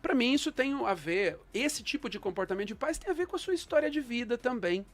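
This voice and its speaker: A man, a guest psychologist on a panel, speaking in a measured, reflective way with frequent fillers. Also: very high voice, expressive, a bit bright. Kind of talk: thoughtful panel discussion.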